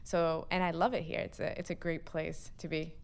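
Smooth-voiced young woman, casual. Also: low-pitched, little pitch movement, moderate pace. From Pakistan. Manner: casual, uses "like" often. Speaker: guest, neuroscience student. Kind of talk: conversational interview.

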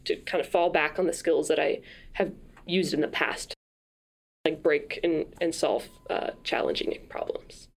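A woman's voice: low voice, steady tone, measured pace, vocal fry. A woman from France, a podcast guest, talking through her background in a thoughtful way, with many restarts. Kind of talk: casual conversational interview.

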